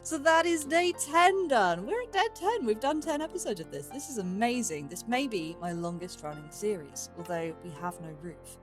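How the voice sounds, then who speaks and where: A woman's voice: fairly high pitch, animated, normal speed, hardly any pauses, faint music behind. A woman giving casual let's play commentary, musing aloud and questioning herself as she goes. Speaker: host of a gaming let's play series.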